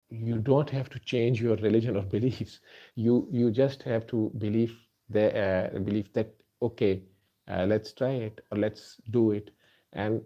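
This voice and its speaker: Earnest halting man, low dark voice. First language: Urdu. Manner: earnest, halting, many fillers. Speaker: doctor and healer. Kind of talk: spoken address to listeners. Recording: dull lo-fi recording.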